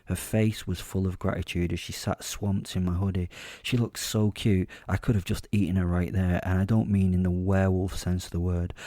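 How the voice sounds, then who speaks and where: A man narrating first-person horror fiction in a measured storytelling manner. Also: low voice, little pitch movement, mellow, no room sound, studio quality. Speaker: narrator and voice actor.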